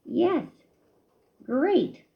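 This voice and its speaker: A woman voicing a young boy mouse who is greedy and later guilty, with a high squeaky voice and a stammer. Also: wildly swooping pitch, a little slow, roomy sound, heavily acted. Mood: miserable. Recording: dull lo-fi recording.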